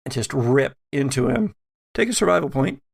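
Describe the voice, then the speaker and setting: A man's voice: mid-range voice, animated, moderate pace, gravelly, husky. A man acting as game master, narrating a tabletop role-playing game dramatically with lingering, descriptive phrasing.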